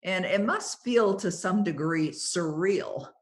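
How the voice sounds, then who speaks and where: A middle-aged woman from Canada hosting a podcast interview, chatty and encouraging, with quick asides and fillers. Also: fairly low pitch, a little hiss or hum. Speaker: podcast host. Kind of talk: interview conversation.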